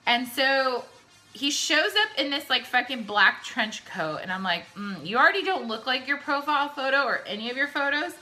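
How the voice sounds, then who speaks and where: Bright, brash Californian woman, tipsy. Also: on the high side, rough recording. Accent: Californian American. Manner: brash, sweary, slangy, dramatic emphasis. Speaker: YouTube vlogger. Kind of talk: casual storytime Q&A.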